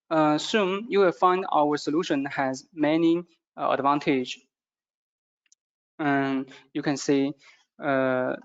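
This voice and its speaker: A man with a non-native English accent gives a product training presentation, speaking haltingly and repeating phrases as he goes. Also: on the high side. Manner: halting, repetitive phrasing with stumbles. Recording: dull, muffled lo-fi audio.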